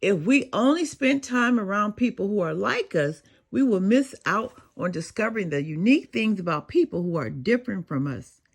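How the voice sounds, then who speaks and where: A woman voicing a caring, encouraging father in a children's storybook, gently instructive and reassuring. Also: mid-range voice, expressive, normal speed, few pauses, slightly rough. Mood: reassuring, wise. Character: caring, wise, encouraging father of a young boy.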